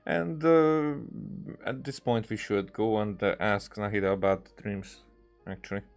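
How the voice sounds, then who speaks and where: Low-voiced man, rambling game commentary. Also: steady tone, faint music behind. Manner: halting, rambling, circling back over words. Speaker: gaming streamer playing and commenting on a game. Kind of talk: casual unscripted game commentary.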